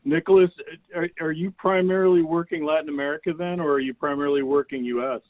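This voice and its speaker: A man's voice: a little high, steady tone, fast talker, no echo. Man hosting, tinny phone-like call. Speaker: meeting host. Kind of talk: casual anecdote and moderating on a group call. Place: video call. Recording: narrow, phone-like call audio.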